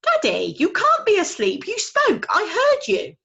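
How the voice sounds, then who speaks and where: A woman voicing a small, eager, excitable baby barn owl boy in a high, squeaky, childish voice. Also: unhurried, gravelly, lo-fi sound, exaggerated voice.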